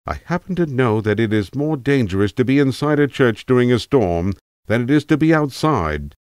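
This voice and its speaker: A man's voice: medium pitch, animated, normal speed, a little mellow, clean tone, no echo, studio quality, voice pushed up, natural voice. A man voicing an eccentric, opinionated old tramp telling a rambling tale in an emphatic, self-assured way, as an audiobook character. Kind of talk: acted audiobook character monologue.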